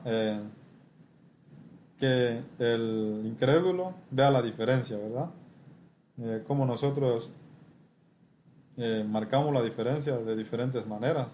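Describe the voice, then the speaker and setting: A man preaching a sermon and teaching from scripture, speaking haltingly with frequent rhetorical tag questions. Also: mid-range voice, warm tone. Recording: noisy room ambience.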